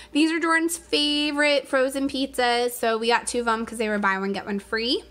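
A woman's voice: fairly high pitch, fairly bright tone. A woman chatting casually as she narrates grocery items for a home vlog, speaking from behind the camera. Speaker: vlogger showing a grocery haul.

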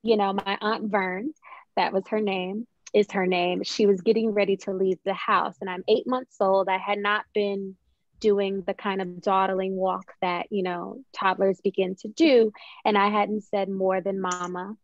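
A woman's voice: mid-range voice, little pitch movement, a little slow, dry recording. Young woman, guest writer, reflective storyteller, thoughtful phrasing, dry and slightly dull call audio. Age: young adult. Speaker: guest playwright and poet. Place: small room at home, on a video call.

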